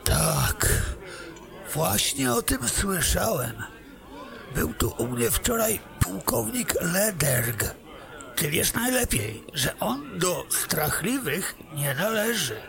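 A man playing a gravelly, high-voiced tavern keeper. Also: a crowd in the background, heavily acted. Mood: gossipy. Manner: animated, slow, gossipy. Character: chatty gossiping male tavern keeper. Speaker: innkeeper. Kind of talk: fantasy audio drama acting.

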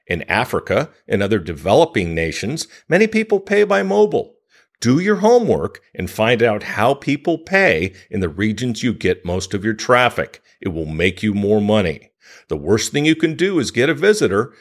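Guy reading out podcast advice, medium pitch, super swoopy animated delivery. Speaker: podcast host giving a business tip. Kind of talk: scripted advice narration.

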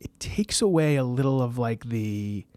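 A man in his thirties talks through his stories in a relaxed, rambling way, with plenty of fillers and restarts. His voice is mid-pitched, and the recording is dry and close. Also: normal speed, no echo. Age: 30s. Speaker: guest. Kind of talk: casual interview storytelling.

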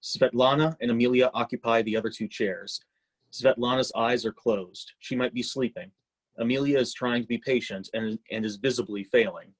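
A man reads out stage directions for a play. His voice is of average pitch. The delivery is scripted narration that sets the scene. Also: fairly even intonation, moderate pace, husky, rough recording.

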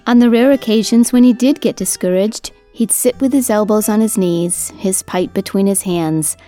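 A woman reading scripted storytelling narration for a folk history podcast in a measured, literate manner. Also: mid-range voice, warm tone. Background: music under the voice.

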